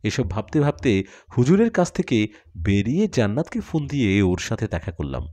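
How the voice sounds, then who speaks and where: Man, story narrator, dark soft timbre, very varied intonation, dramatic first-person telling. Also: lowish voice, normal speed. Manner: expressive, acts out dialogue.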